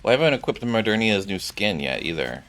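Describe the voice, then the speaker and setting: A man's voice: on the low side, big pitch swings, quick pace, fairly bright tone. A man streaming a video game, giving casual, rambling commentary as he reacts aloud.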